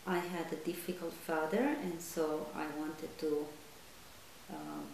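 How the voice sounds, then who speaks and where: A woman's voice: low voice, steady tone, takes it slowly, vocal fry, darkish tone. Italian-born woman, hesitant and reflective. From Italy. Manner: hesitant, thoughtful, many fillers. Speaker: interviewee. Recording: echoey room with faint hiss.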